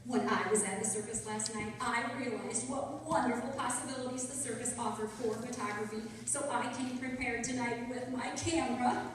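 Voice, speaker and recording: Woman acting a high-voiced detective's sweetheart, echoey hall. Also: normal speed, far from the mic, lightly acted. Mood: chatty. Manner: animated, theatrical. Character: lively young woman, detective's sweetheart and keen photographer. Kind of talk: stage radio-play acting.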